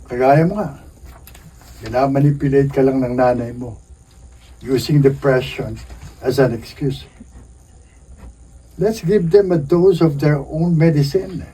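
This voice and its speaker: An actor playing a rebellious, domineering young college student in a radio drama, with a cocky, slangy manner. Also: male voice, medium pitch, unhurried, pauses often, mellow, breathy edge, low-quality recording. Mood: menacing, scheming.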